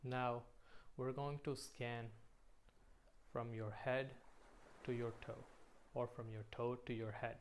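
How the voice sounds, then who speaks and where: A man leading a guided meditation in a slow, soothing manner, pausing between short phrases. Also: mid-range voice, level tone, a little hiss or hum.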